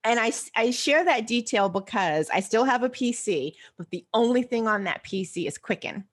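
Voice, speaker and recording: A woman with an American accent chatting casually as an interview guest, animated and explaining things step by step with rhetorical questions. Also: a little high, normal speed. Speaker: interview guest, personal finance expert.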